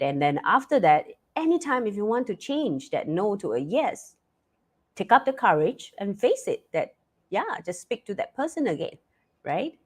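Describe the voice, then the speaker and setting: A woman hosting a live talk stream, hoarse and stuffy-nosed, chatty with many fillers and restarts. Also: fairly low pitch. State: hoarse, losing her voice; stuffy nose. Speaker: live talk show host.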